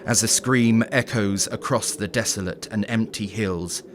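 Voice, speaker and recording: A man narrating a scripted horror story in a dramatic, deliberate storytelling manner. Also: lowish voice. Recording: clearly noisy background ambience.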